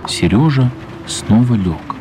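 A man narrating a children's radio play as scripted storytelling, measured and expressive, with pauses. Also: mid-range voice, very noisy. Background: music.

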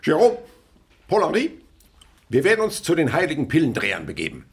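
A man's voice: fairly high pitch, huge pitch swings, a little fast, breathy edge, heavily acted. An actor in a radio play as a curious, philosophizing father who studies insects, speaking in an eager, didactic, declamatory way. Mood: enthusiastic, musing. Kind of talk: German radio play acting.

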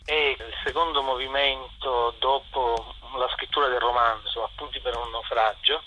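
A man speaking as an author and actor in a radio interview, explaining with measured, reflective phrasing. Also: mid-range voice, fairly even intonation, bright, forceful tone, rough recording. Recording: faint background noise.

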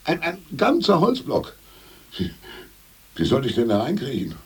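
An actor playing a hapless, sheepish comrade in a 1980s radio play, stammering out excuses. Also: male voice, medium pitch, huge pitch swings, a little slow, darkish tone, slightly rough, distant mic, exaggerated voice. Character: hapless male comrade Staschek, sheepish and fumbling.

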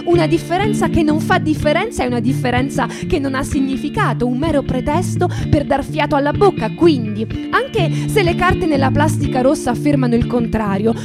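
A woman giving a deliberate, theatrical reading of literary narration. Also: high voice, lively intonation. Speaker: reader and stage performer. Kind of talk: dramatic literary reading, second-person narration. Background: plucked acoustic guitar music.

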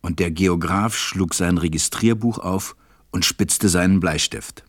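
A man's voice: low-pitched, a little slow. A man narrating a children's story in a scripted radio play.

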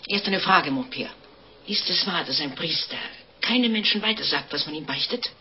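A woman's voice: lowish voice, expressive, normal speed, natural voice. A woman playing a shrewd, impatient innkeeper in a German radio play, dry, probing and commanding. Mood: suspicious. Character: shrewd, impatient female innkeeper making confession. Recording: dull old radio recording, faint noise.

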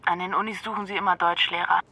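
An actress playing a sardonic, guarded young doctoral student in a radio drama, with a dry, cutting delivery. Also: female voice, fairly low pitch, steady tone, fast, pushed and bright, husky, exaggerated voice. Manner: dry, cutting, matter-of-fact.

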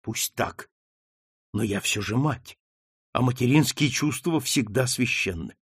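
A man's voice: mid-range voice, a little slow, slightly rough, dry recording, studio quality. A man voicing an anguished young daughter who pleads with her mother, speaking in an impassioned, rising way in an audiobook reading. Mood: pleading.

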